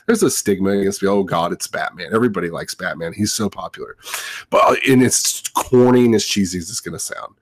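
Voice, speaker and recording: A man's voice: sits low, dry recording. A man in his thirties telling personal stories casually as a podcast host, in a rambling, enthusiastic way. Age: 30s.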